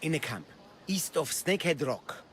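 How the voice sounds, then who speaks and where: A man's voice: on the high side, big pitch swings, moderate pace, a bit bright, a little hiss or hum. An actor playing an anxious, sentimental male oil merchant in a video game, with a pleading, effusive delivery. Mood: hesitant, explaining. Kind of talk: dramatic video game dialogue.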